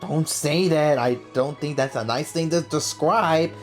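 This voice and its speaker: A woman's voice: sits low, unhurried. A woman giving casual, slangy and sympathetic reaction commentary over gameplay as a let's play host. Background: soft game music.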